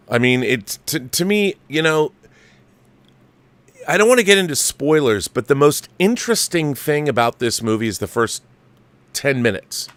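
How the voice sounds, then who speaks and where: A man's voice: medium pitch, fairly bright tone, smooth, dry recording. Man hosting a dry-sounding film commentary stream. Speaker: host of a film commentary livestream. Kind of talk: casual opinionated film review monologue.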